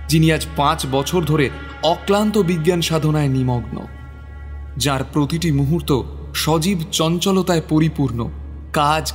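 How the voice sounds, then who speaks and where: Reverberant, higher-pitched male story narrator. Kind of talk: dramatic scripted story narration. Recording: long reverb, music underneath.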